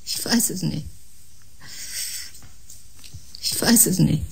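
A woman's voice: lowish voice, normal speed, gravelly, a little hiss or hum, poor audio. An actress playing an anxious, humane woman doctor in a science-fiction radio drama, pleading and emotional. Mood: resigned, anxious.

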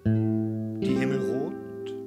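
A man in his fifties from eastern Germany declaiming rhythmic spoken poetry in short measured phrases. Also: mid-range voice. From Magdeburg, eastern Germany. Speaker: spoken-word performer. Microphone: stand microphone with pop filter, close. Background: electric guitar playing.

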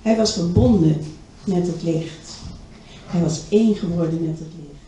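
A middle-aged woman telling a story live on a small stage into a handheld mic, expressive and dramatic, with long pauses. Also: on the low side, slight background noise. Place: small indoor stage with curtains. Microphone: handheld microphone. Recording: roomy distant live stage recording.

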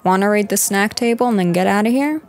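A woman's voice: medium pitch, fast talker, close to an everyday voice. A woman playing a teasing young goth girl in an ASMR roleplay, talking casually and directly to the listener. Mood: wry, teasing. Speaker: roleplay voice performer. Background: background music.

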